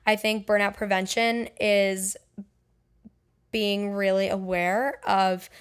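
A young woman talks through a casual solo podcast in a chatty, candid way. Her speech is loose and full of "like" and "um". Her voice sits at an ordinary middle pitch. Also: flat delivery, takes it slowly, clear, resonant tone. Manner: chatty, filler-heavy with frequent "like" and "um"; candid and personal. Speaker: podcast host and songwriter.

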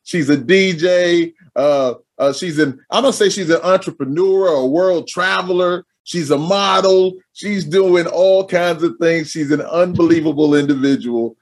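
Husky-voiced hype man hosting a show. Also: a little high, unhurried, hardly any pauses. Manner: repeats his phrases for emphasis, energetic. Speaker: podcast host. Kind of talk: hyped-up show introduction and casual interview.

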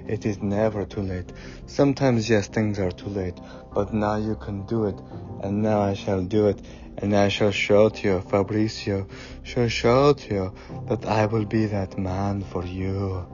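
A man playing a boastful, self-confident man who dreams of rock stardom, speaking in a deliberate, grandiose way with stilted formal phrasing. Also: fairly low pitch, flat delivery, a little mellow, natural voice. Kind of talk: comic character monologue. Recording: dull lo-fi sound with faint music.

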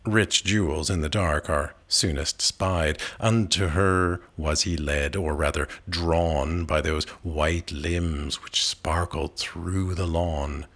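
A man reciting narrative poetry expressively, with measured, dramatic phrasing and pauses. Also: very deep voice, steady tone, a bit bright. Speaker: reader of poetry.

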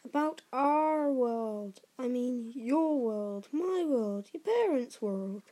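A woman voicing a huge, gruff, hot-tempered male giant with rustic speech and booming, growling outbursts in a storybook reading. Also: lively intonation, slow, warm tone, exaggerated voice. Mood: outraged, thundering. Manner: rustic dialect, emphatic outbursts.

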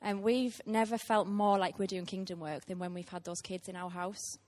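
A woman's voice: mid-range voice, steady tone, moderate pace, a little mellow. A woman, preaching a heartfelt sermon to a church with frequent ums. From Teesside, England. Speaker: guest preacher.

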